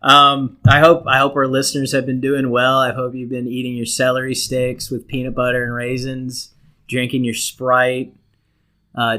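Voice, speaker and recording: A man with a bright, forceful voice co-hosts a podcast. He talks casually and jokes crudely, swearing freely as he banters. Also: medium pitch. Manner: crude, profane joking. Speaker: podcast co-host.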